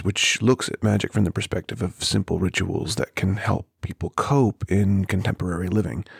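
A man hosting a podcast and talking casually while hoarse from a cold, hurrying and filling pauses with ums and uhs. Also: low voice, darkish tone, no echo, clean studio sound. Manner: hurried, with many ums and uhs. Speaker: podcast host. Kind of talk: casual spoken intro. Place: home.

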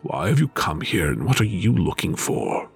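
A man voicing Great January, an ancient, stern spirit of the winter month who speaks slowly and gravely. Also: low voice, huge pitch swings, faint music behind, full character voice. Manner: slow, grave.

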